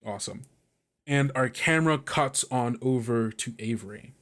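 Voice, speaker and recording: A man narrating a tabletop game as game master, improvising vivid descriptions in halting, pieced-together phrases. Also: medium pitch, a bit bright.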